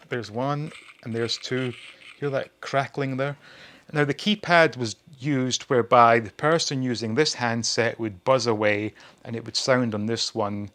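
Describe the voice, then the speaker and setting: A man's voice: medium pitch, a bit bright. Casual man in his forties reviewing retro toys. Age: 40s. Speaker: host of a retro toy channel. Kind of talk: casual hobby review to viewers.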